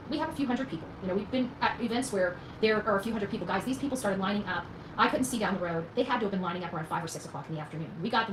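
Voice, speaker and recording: A woman talks very quickly, as if to her followers, rambling through a personal story. Her manner is folksy and emphatic, full of colloquial turns. The room is echoey, with faint noise behind her. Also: mid-range voice. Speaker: vlogger recounting own experience. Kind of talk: casual rambling storytelling to viewers.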